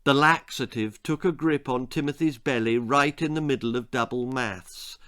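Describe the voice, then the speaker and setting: A man reading a children's story aloud as a dramatic, expressive narrator. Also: mid-range voice. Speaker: author reading his own story.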